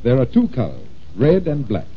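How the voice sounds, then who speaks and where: A man's voice: mid-range voice, wildly swooping pitch, moderate pace. An actor playing a confident magician detective in 1940s radio style, explaining things patiently like a showman. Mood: showmanlike, patient. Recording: dull, noisy old-time radio recording.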